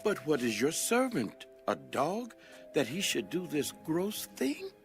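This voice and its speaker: An actor playing a humble, grave royal messenger in a dramatized scripture audiobook. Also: male voice, a little high, wildly swooping pitch, a little slow, over music. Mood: humble protest.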